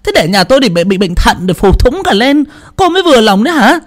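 A man voicing a domineering older mother-in-law who scolds in shrill, rapid tirades. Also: big pitch swings. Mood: shrieking scold.

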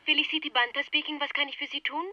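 An actress in a German radio drama playing a formal, officious charity agent on the telephone. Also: female voice, high voice, flat delivery, quick pace, pushed and bright, no echo. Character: brisk, evasive, businesslike female charity telephone agent. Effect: telephone filter. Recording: dull, distant telephone line.

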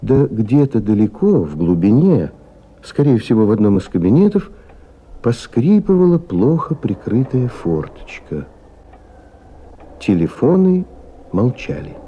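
An actor performing as a grave, measured storyteller, giving scripted dramatic narration for a radio play. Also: male voice, medium pitch, big pitch swings, mellow, highs cut off. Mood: atmospheric. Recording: noisy old 1970s radio recording.

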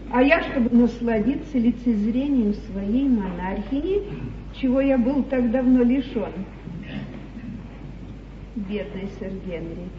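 A woman's voice: fairly high pitch, echoey room, lightly acted. An actress playing a fretful yet imperious queen in a theatrical, declamatory mid-century stage comedy style. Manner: grand, declamatory, plaintive. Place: large theatre stage, echoing. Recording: muffled, hissy 1950s theatre broadcast, distant.

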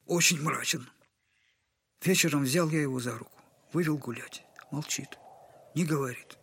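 An actor playing a humble, wounded retired captain and loving poor father in an audio drama, speaking effusively and haltingly in a servile old-fashioned way. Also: a little high, fairly bright tone, husky. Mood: mournful, tender.

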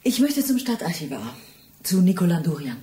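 An actress playing a persistent, exasperated visitor in a radio play, speaking in an insistent, conversational way. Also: female voice, fairly low pitch, very animated, normal speed, warm tone. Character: persistent, exasperated female visitor seeking a friend. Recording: roomy, echoing interior.

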